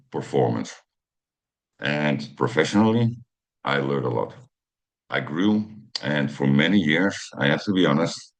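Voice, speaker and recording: A Dutch man in his fifties, speaking English as a second language, telling a personal story to camera with many pauses and fillers while glancing at notes. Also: very deep voice. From Netherlands. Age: 50s. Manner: hesitant, many ums and uhs, reads from notes. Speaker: channel host.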